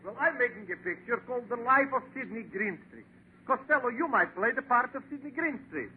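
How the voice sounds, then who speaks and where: A man playing a brisk comic Hollywood movie producer in 1940s radio comedy. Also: extremely high pitch, lively intonation. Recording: tinny, faintly noisy 1940s radio recording.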